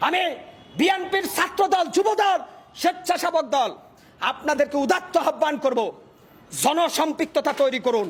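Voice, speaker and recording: A Bangladeshi man delivering a fiery political speech in an impassioned, declamatory manner, often shouting and using repetitive rhetorical phrasing. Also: very high voice, normal speed. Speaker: political speaker, self-described hawker.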